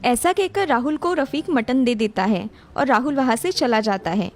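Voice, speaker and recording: A woman's voice: a little high, lively intonation, normal speed. A woman reading a scripted children's story as narrator, with music behind her. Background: background music.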